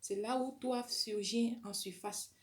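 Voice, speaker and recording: A woman's voice: a little high, fairly even intonation, measured pace. Earnest woman sharing her story. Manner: earnest, testimonial, with frequent restarts. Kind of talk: personal vlog monologue.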